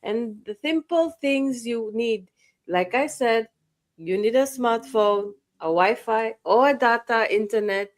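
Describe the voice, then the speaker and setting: A woman's voice: fairly high pitch, measured pace. A woman hosting a motivational online talk, speaking earnestly, repeating phrases for emphasis and listing points one by one. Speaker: host.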